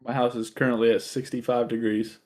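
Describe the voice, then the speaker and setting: A man's voice: mid-range voice, level tone, a little fast, darkish tone. A slightly tired man co-hosting a podcast in a casual, conversational way. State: a little tired. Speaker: podcast co-host. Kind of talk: casual chat.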